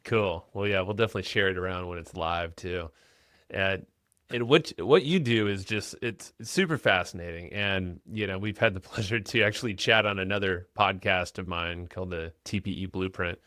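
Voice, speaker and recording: A man's voice: deep voice, normal speed, pushed and bright, no room sound, clean studio sound. A man hosting a podcast interview, upbeat and chatty, often repeating words as he starts sentences. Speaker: podcast host. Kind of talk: casual podcast interview with ad read.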